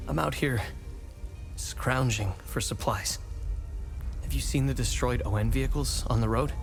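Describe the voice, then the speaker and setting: A man's voice: medium pitch, vocal fry. A man playing a weary, protective prison-camp escapee in a video game, earnest and plain-spoken. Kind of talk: video game cutscene dialogue.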